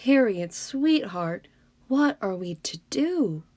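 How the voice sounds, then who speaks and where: A woman's voice: mid-range voice, big pitch swings, slow, a little mellow, no room sound. Woman reading as a patient, exasperated storybook mother. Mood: restrained exasperation. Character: patient, exasperated mother gently scolding her child. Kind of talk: storybook read-aloud for children.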